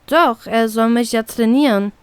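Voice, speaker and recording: A woman's voice: fairly high pitch, measured pace, clear, resonant tone, no room sound, natural voice. A woman playing a brusque young pirate woman, curt and irritable, in an audio story. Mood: annoyed. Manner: curt, annoyed, defiant.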